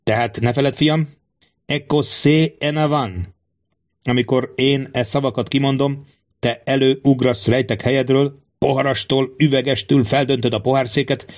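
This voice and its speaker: A man's voice: mid-range voice, pitched above the performer's own voice, lightly acted. A man playing a suspicious, scheming king in an audiobook, speaking in a theatrical, musing and fatherly way. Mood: scheming, instructive.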